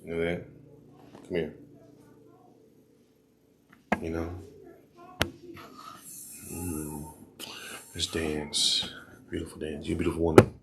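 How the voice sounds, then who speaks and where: A man playing a smooth-talking man courting a dance partner, in a slow, crooning, flirtatious murmur. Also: very deep voice, flat delivery, noisy background, full character voice. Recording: echoey room, mic at a distance.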